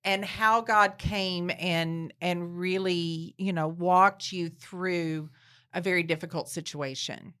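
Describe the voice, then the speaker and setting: A woman's voice: lowish voice, steady tone, slow pace, fairly bright tone. A woman hosting an interview, asking questions and encouraging her guest. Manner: encouraging, brief affirmations. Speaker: host.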